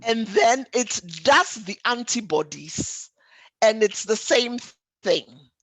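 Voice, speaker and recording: A woman's voice: on the high side, animated, takes it slowly, slight background noise, rough recording. A woman doctor presenting a health webinar, explaining things for lay listeners in an emphatic, didactic way, repeating key points and asking rhetorical questions. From Ghana or the Caribbean.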